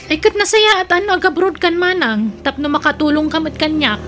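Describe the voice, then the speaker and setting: A woman's voice: high-pitched, fairly quick, music in the background, lightly acted. A woman playing a headstrong, needy younger sister in an Ilocano radio drama, petulant and pleading, with an Ilocano Filipino accent. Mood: complaining.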